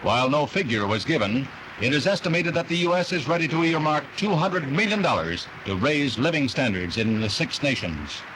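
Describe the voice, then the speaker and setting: Animated American newsreel man, higher-pitched voice. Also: brisk, noisy background. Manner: declamatory announcer delivery. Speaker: newsreel narrator. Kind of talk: 1960s newsreel scripted narration. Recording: vintage newsreel soundtrack with music. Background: newsreel music.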